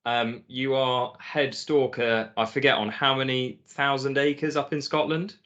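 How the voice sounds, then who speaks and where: A man's voice: medium pitch, level tone, unhurried, a bit bright. A man hosting a podcast, asking questions in a friendly, self-deprecating way. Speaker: podcast host. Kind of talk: casual podcast interview. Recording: slightly dull, lo-fi audio.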